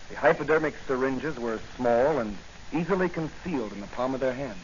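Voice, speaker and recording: An actor playing a bold, stern masked police hero in a 1940s radio drama, commanding and righteous, on a muffled, noisy old recording. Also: male voice, mid-range voice, brisk, close to an everyday voice. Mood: calm, explaining.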